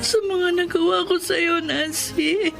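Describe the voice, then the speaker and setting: Radio drama role: contrite female patient, emotional, high-pitched, drawn-out pace. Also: fairly even intonation, creaky, over music, full character voice. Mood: tearful, remorseful. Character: remorseful older sister-in-law recovering in hospital. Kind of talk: Filipino radio drama acting.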